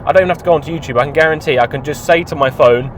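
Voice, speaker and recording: Young man, offhand and wry, recorded in a car with road noise clearly audible behind him. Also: a little high, very fast. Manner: rambling, offhand, wry asides. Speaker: film reviewer. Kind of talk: casual spoken review. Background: clear car and street noise.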